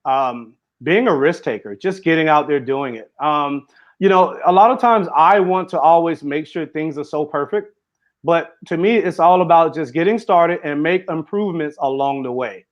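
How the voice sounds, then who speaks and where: High-voiced man, dull lo-fi sound. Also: normal speed. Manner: earnest, runs sentences together with fillers. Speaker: interview guest, author and speaker. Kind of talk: casual interview conversation.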